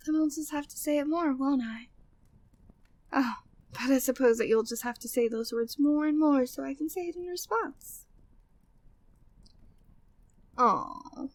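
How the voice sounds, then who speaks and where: High-voiced flirty, devoted maid played by a woman. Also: moderate pace, darkish tone, no echo, natural voice. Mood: smitten, teasing. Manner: formal, genteel phrasing, breathy sighs, teasing. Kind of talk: intimate ASMR roleplay, scripted monologue.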